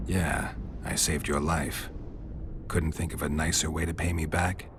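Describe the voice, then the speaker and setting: An actor playing a stoic, world-weary monster hunter in a video game, terse and deadpan with a gravelly voice. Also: male voice, rumbling low, a little slow, a little hiss or hum. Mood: brooding.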